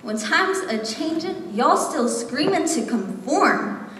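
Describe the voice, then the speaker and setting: A woman's voice: high-pitched, expressive, slow pace, fairly bright tone. A young woman reciting slam poetry with an impassioned, rhythmic, emphatic delivery. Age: young adult. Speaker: slam poet. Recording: echoey hall, distant mic, faint noise.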